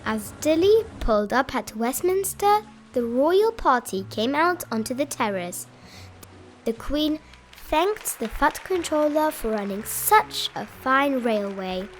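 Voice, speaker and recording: A woman reading a children's storybook aloud in an expressive, deliberate storytelling style, as a read-aloud host. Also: high voice, slight background noise. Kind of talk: animated children's storybook narration.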